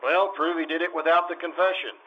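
A man's voice: a little high, steady tone, a little fast, highs cut off, slight background noise, lightly acted. A man playing a businesslike, patient police detective in an old-time radio drama, with a clipped, dry, matter-of-fact delivery.

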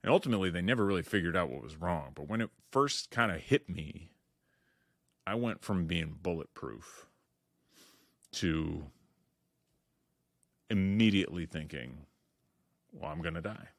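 A middle-aged man with a deep voice hosting his own podcast, talking candidly and earnestly with many restarts and "you know"s. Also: expressive, unhurried, long pauses, fairly bright tone, no room sound, clean studio sound. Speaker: podcast host. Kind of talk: reflective solo podcast monologue.

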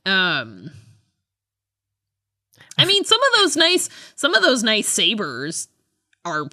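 A woman's voice: mid-range voice, huge pitch swings, slow, bright, forceful tone, no room sound, clean studio sound. A woman co-hosting a podcast in casual fan chat, chatty and rambling, often hedging and trailing off. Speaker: podcast co-host.

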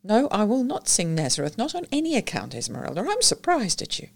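A woman with an Australian accent voicing a wry, genial upper-class gentleman detective in an audiobook reading. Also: mid-range voice, wildly swooping pitch, fairly quick, vocal fry, pitched above the performer's own voice, heavily acted.

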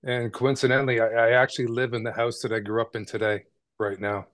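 A man's voice: medium pitch, flat delivery, fast talker, a bit bright, dry recording, clean studio sound. A man from Canada, a podcast guest, telling his story in a casual, conversational way with frequent "right?" tags and small repeats.

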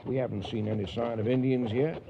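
An actor playing a stubborn, devout veteran cattle drover in a western radio drama. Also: male voice, medium pitch, fairly even intonation, a little fast, vocal fry, close to an everyday voice. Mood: stubborn, confident. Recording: noisy, dull old radio drama recording.

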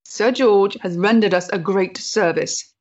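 An actress playing an earnest, composed Victorian companion with a measured, formal manner in a period stage drama. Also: female voice, mid-range voice, darkish tone, lo-fi sound, lightly acted. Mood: grave, grateful.

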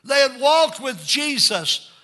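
A man's voice: very high-pitched, sing-song, slow, bright, forceful tone. An older man preaching a fervent Pentecostal sermon, exhorting listeners and punctuating his points with amens and calls for response.

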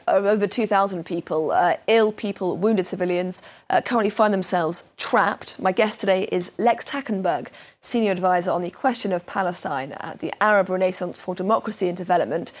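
Woman presenting news, mid-pitched. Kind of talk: broadcast news interview introduction.

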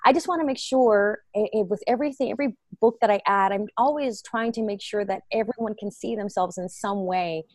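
An earnest, expressive woman answers interview questions, laughing easily as she talks. Her voice sits in the middle for a woman. The sound is dry and close. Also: medium pitch, brisk, hardly any pauses, no echo. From United States. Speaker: guest, children's book reader.

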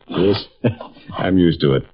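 An actor playing a hard-boiled, sarcastic college football coach, blunt and sardonic, in 1950s radio drama style. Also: male voice, sits low, takes it slowly, a little hiss or hum. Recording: tinny, band-limited vintage radio recording.

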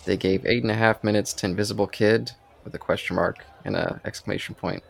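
A man with a fairly low voice and plenty of vocal fry. He speaks as a podcast co-host in casual conversation about music. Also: fairly even intonation, fast.

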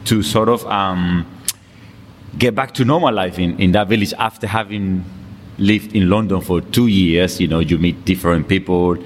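A man's voice: low-pitched, normal speed. A Colombian man speaking English with a Colombian Spanish accent, chatting easily as an interview guest and laughing often. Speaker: guest, fitness coach. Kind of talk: casual interview chat. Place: gym. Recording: long reverb, faint background noise.

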